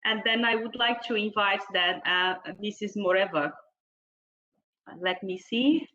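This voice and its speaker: Bright-voiced woman moderating, slightly muffled. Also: mid-range voice. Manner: halting, hesitant delivery. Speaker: panel moderator introducing speakers. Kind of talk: formal introduction partly read from notes. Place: online video meeting.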